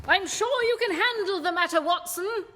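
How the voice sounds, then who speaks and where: A woman acts a composed, incisive detective in a radio play. The voice is high and precise, giving orders with assurance, and rings in a long hall-like reverb. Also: moderate pace, pushed and bright, slight background noise. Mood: brisk, wry. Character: confident, incisive gentleman detective. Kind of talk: festive radio play acting.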